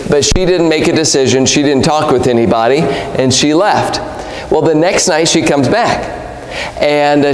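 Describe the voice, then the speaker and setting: A man in his forties preaches from a church pulpit into a mic, pressing hard on key words. His voice sits fairly high for a man. The sanctuary adds a roomy, long-tailed reverb. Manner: emphatic, rising on key words, gestures broadly. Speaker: evangelist preacher. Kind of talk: sermon with storytelling. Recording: echoey hall reverb.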